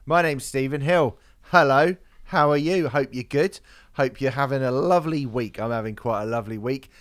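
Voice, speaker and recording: A man's voice: mid-range voice, moderate pace, no room sound, studio quality. A man hosting a casual music podcast, rambling and swearing, his voice a bit off after karaoke. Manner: swearing, rambling, laughs often. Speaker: podcast host.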